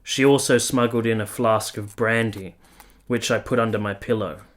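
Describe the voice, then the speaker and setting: Guy acting a gentle serious soldier in an old-time radio play, lowish voice, careful bookish wording. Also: fairly even intonation, close to an everyday voice. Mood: sombre, haunted. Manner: measured, literary, sombre. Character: earnest, gentle young soldier narrating his own story. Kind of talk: first-person dramatic narration in a radio play.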